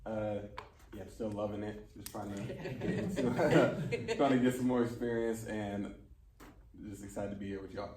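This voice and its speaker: A man's voice: on the low side, moderate pace. A man who is a fairly new actor, casually introducing himself. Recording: noisy echoey room, distant mic.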